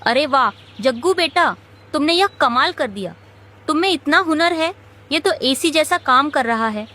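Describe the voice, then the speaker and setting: A woman's voice: high-pitched, expressive, measured pace, sharp tone, full character voice. A woman voicing a caring, protective mother bird in a children's cartoon. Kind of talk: animated children's story acting.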